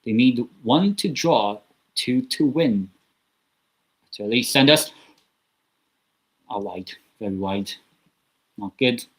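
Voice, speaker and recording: A man's voice: mid-range voice, slow pace, pauses often, a bit bright, breathy edge. A man hosting a live football watchalong, giving casual, rambling commentary with chatty asides from a small room at home. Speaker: watchalong host.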